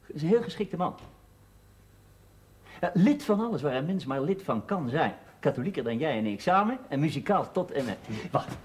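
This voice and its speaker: An actor playing a brisk, curt mining boss in a naturalistic 1970s television drama. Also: male voice, on the high side, sing-song, a little hiss or hum. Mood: affable, confident.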